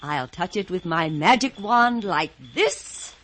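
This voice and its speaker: An actress playing a gentle but commanding fairy godmother, speaking grandly in a storybook radio play. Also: on the low side, expressive, slow pace, full character voice. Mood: soothing, commanding. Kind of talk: old-time radio fairy-tale acting.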